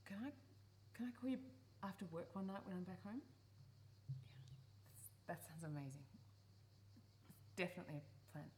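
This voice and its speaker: A woman's voice: lowish voice, expressive, normal speed, long pauses, darkish tone, lightly acted. A woman playing a guarded, exasperated adult daughter, dry but tender underneath, in radio play dialogue. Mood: shy, tender. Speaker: actor in a live radio play. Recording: live recording with clear background noise.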